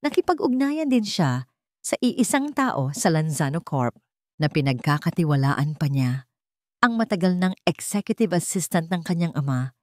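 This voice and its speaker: Woman reading a dramatic love story, kinda low voice, pitch moves a lot, dry close sound. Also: darkish tone, dry recording. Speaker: story narrator. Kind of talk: scripted dramatic story narration.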